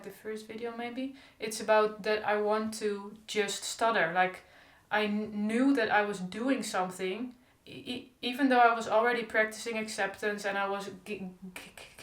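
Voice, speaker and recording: A young woman who stutters openly with repeated sounds, talking in a casual diary-style vlog. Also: medium pitch. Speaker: stuttering vlogger. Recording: echoey room.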